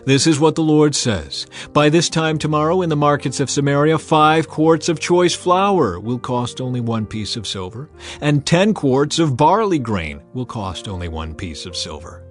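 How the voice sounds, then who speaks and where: A man voicing a calm, commanding prophet who speaks in a firm, solemn manner. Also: a little high, moderate pace, faint music behind, close to an everyday voice.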